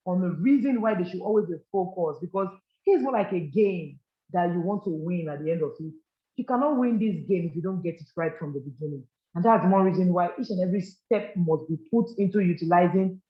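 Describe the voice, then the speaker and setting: A man giving a business leadership training, earnest and coaching, often checking in with "all right?". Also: sits high. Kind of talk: live training presentation to a group. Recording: roomy, muffled lo-fi recording.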